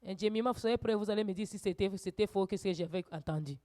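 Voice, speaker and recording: A woman preacher teaching a church sermon, swinging from quiet asides to loud, urgent exhortations. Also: mid-range voice, quick pace.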